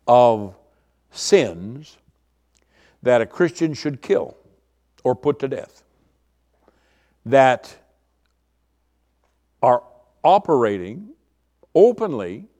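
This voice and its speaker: A male pastor with a booming, medium-pitched voice teaches scripture. He speaks in a deliberate, instructive way, leaning on key words and repeating phrases for emphasis. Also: dark tone. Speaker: pastor teaching a Bible study. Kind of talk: expository sermon.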